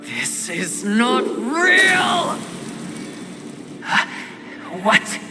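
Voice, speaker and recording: Fierce, imperious warrior horseman, animated pitch. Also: very slow, full character voice. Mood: angry, commanding. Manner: imperious, forceful, indignant. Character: Fury, fierce, proud, hot-tempered female Horseman. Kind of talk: video game cutscene acting. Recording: long hall reverb, music underneath.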